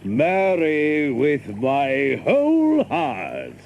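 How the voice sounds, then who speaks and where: An actor playing a huge, sturdy, fearless staff fighter in an audio drama, taunting and hearty in archaic English. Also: male voice, fairly high pitch, wildly swooping pitch, highs cut off, a little hiss or hum, heavily acted. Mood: hearty defiance. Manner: taunting, hearty, deliberate theatrical delivery.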